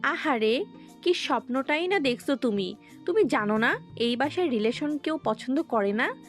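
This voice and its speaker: A woman's voice: high-pitched, lively intonation, moderate pace, faint music behind. A woman playing a cautious, teasing young girlfriend in an audio love story, speaking in a conversational, sceptical way. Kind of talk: dramatised audio story dialogue.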